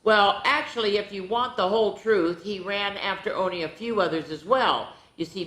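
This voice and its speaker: A woman hosting a children's story time, reading a storybook aloud in an animated, playful way with dramatic asides to the young listeners. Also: fairly low pitch. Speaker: children's storyteller, show host. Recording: roomy, echoing indoor sound.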